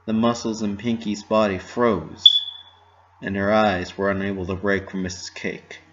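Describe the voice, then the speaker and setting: A man's voice: on the low side, flat delivery, moderate pace, dull audio, very noisy. A man narrating a story as a scripted dramatic reading.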